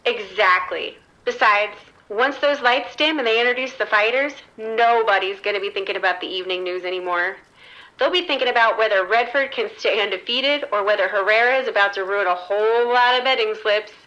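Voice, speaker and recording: A woman's voice: mid-range voice, fairly quick, bright, forceful tone, lo-fi sound, natural voice. A woman playing an upbeat, unruffled casino floor manager in an audio drama, chatting in a matter-of-fact, reassuring way. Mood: confident. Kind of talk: scripted horror audio drama radio exchange.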